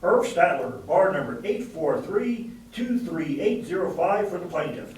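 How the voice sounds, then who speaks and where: A man's voice: sits high, animated, unhurried, darkish tone. A man playing an insistent, pedantic attorney who repeats questions in a puppet courtroom cross-examination. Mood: formal. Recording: echoey room, distant mic, faint noise.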